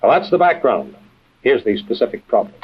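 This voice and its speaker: An actor playing a composed, authoritative intelligence chief and adventure storyteller, measured and dry, in a vintage radio drama with tinny sound. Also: male voice, mid-range voice, big pitch swings, slight background noise, close to an everyday voice. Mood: brisk, businesslike. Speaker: host and narrator-character. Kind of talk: old-time radio adventure drama acting.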